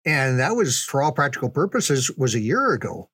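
An older man with a mid-pitched voice tells a story in a casual, folksy manner. He takes his time and pauses to stress details. The recording is dry, with very little room sound. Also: big pitch swings, fairly bright tone, husky, no room sound. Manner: unhurried, folksy, halting with pauses for emphasis, chuckling. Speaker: podcast storyteller, reminiscing father.

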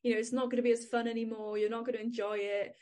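A woman's voice: a little high, level tone, rapid-fire, darkish tone. A young adult woman with a Welsh accent, talking candidly and chattily as a podcast co-host, laughing often. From Wales. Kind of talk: casual chat.

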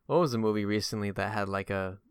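A man's voice: fairly low pitch, quick pace, smooth, no room sound, studio quality. A man hosting a casual film discussion, rambling and often stammering as he works through his points. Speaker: film podcast host.

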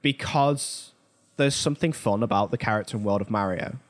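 A man's voice: lowish voice, fairly quick. A man co-hosting a pop culture talk show, discussing things in a casual, conversational way. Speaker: podcast co-host.

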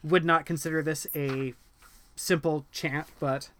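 A man co-hosting a casual podcast about a book, animated and hesitant, full of ums and restarts, reading passages aloud quickly. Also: fairly high pitch, slight background noise. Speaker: podcast co-host discussing a book. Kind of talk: casual conversational chat.

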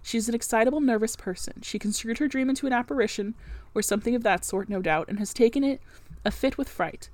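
A woman's voice: fairly high pitch, fast talker, darkish tone, no room sound, close to an everyday voice. Storybook master of the house, played by a woman: imperious, brusque, teasing, formal period speech, dry recording. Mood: composed, commanding. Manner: imperious, formal old-fashioned phrasing. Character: commanding middle-aged English gentleman, brusque and teasing.

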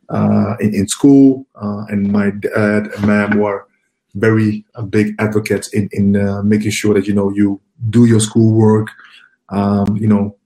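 Low, flat-voiced man, halting. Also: poor audio. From Ghana. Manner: halting, many fillers and repeated words. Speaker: guest, HR professional. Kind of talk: casual interview answers.

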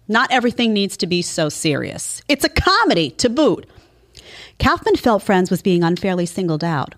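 Female host, emphatic and incredulous commentary, lively intonation, middle pitch. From Italian family background. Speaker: show host. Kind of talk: opinion commentary monologue.

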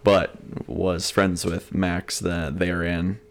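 Deep-voiced young man telling rambling stories. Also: little pitch movement, takes it slowly, gravelly. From Cincinnati area, USA. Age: young adult. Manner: rambling storyteller, restarts and laughs often. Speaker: podcast guest. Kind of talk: casual chat.

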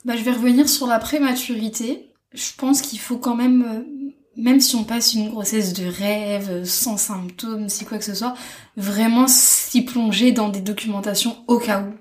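A young woman in her twenties talks through her experience as an interview guest. Her delivery is halting and reflective, with sentences that trail off and restart. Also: on the high side, fairly even intonation. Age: 20s. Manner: halting, thoughtful, trails off with soft fillers. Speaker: interview guest, stay-at-home mother. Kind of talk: casual personal testimony.

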